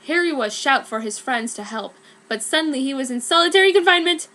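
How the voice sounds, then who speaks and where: A woman's voice: high-pitched, expressive, fast, pushed and bright. A woman narrating a piece of fan fiction as a theatrical, emphatic dramatic reading.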